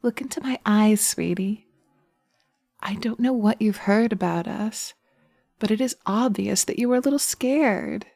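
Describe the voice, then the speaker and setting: A woman voicing a poised, nurturing, gently bossy witch in an audio roleplay, with a genteel, coaxing and chiding manner. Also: medium pitch, a little slow, creaky, no room sound. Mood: soothing.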